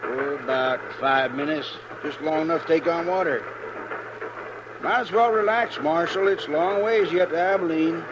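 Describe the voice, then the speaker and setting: An actor playing a garrulous, nervous old-West train conductor with a folksy drawl in a 1950s radio western. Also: male voice, fairly high pitch, moderate pace, close to an everyday voice. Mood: chatty, grumbling. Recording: dull, noisy 1950s radio recording.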